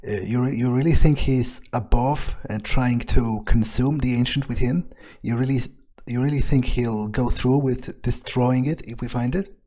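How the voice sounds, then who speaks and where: Man playing a cynical vampire with a mellow, dark voice. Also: medium pitch, fairly even intonation, normal speed, hardly any pauses, natural voice. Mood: skeptical. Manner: hesitant, blunt, profane. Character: cynical, arrogant male vampire, blunt and irreverent. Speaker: gamer roleplaying his character. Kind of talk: improvised in-character video game roleplay.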